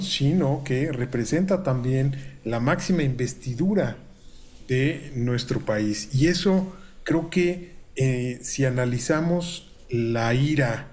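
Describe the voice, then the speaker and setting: A man's voice: medium pitch, a little mellow. Formal Mexican man on a video call. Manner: measured, formal, with filler pauses. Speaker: university researcher guest. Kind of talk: analytical commentary. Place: home room on video call. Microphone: video call webcam.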